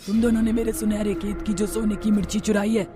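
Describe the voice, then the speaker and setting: An actor voicing a threatening, commanding mother witch in an animated story. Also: medium pitch, quick pace, natural voice. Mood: menacing. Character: menacing mother witch guarding her golden chilli field. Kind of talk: animated Hindi story dialogue. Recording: loud music behind the voice.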